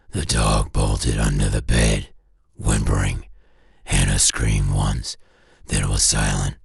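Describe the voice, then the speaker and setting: A man narrating a scripted horror story in an ominous, deliberate way, with a gravelly, husky voice and short clipped phrases. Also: rumbling low, no echo, studio quality. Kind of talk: scripted analog horror narration, second-person.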